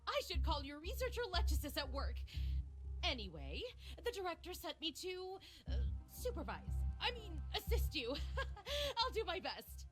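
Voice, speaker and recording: A young game researcher with a high, animated voice. She comes across as earnest, eager and a little nervous, fawning over her colleague. Music is clearly heard behind her. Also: normal speed, full character voice. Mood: giddy, nervous. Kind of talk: video game voice acting.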